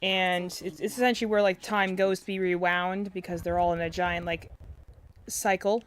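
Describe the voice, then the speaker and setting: Soft-spoken young woman rambling casually. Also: lowish voice, fairly even intonation, normal speed, clear, resonant tone. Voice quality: soft, quiet voice. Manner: rambling, filler-heavy, self-interrupting. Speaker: game streamer. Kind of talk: casual live-stream chat. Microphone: desk mic close to face.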